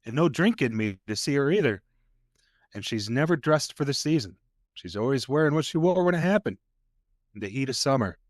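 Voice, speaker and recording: A man's voice: medium pitch, lively intonation, dry recording, lightly acted. Man playing a folksy rural deputy, dry close sound. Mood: earnest, storytelling. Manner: folksy, colloquial, unhurried storytelling. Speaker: actor in an audio play. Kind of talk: naturalistic audio drama dialogue.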